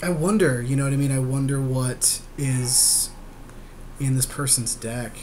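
A man's voice: medium pitch, unhurried, a little mellow. A man chatting casually as a gameplay podcast co-host, agreeable and joking, with some swearing. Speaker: podcast co-host commenting on card game. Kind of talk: casual chat, gameplay commentary. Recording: clear background noise.

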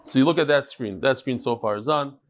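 A middle-aged man teaching a daily Talmud class, reading emails aloud with lively asides to the room and Hebrew and Yiddish terms. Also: mid-range voice, a little fast. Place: large study hall with wood paneling.

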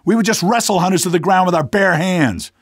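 An actor playing a cocky, stubborn rebel fighter in a video game, casual, streetwise and gravelly. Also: male voice, high voice, a little fast, no room sound, studio quality. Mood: smug, stubborn.

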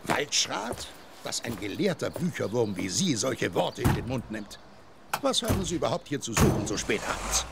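An actor playing a gruff but kindly, blustery old grandpa in a children's audio drama. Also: male voice, mid-range voice, sing-song, moderate pace, heavy background noise. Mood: gruff, combative.

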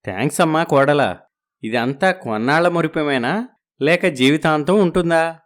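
A man voicing a middle-aged father-in-law who teases and probes gently in a storybook. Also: a little high, moderate pace, natural voice. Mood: wry. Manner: wry, probing, gently teasing. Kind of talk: storybook dialogue.

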